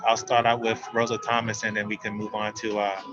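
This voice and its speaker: A man hosting a webinar panel, asking halting questions with restarts over a video call. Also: fairly low pitch, completely flat delivery, muffled recording, over music. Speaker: panel host.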